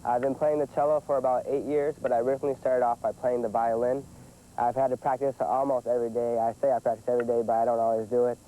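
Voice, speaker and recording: Male teen musician, very dark muted tone, flat intonation, casual introduction, faint noise behind. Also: medium pitch, fast talker, poor audio. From Milwaukee, United States. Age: 16, teenager. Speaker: young cellist introducing himself.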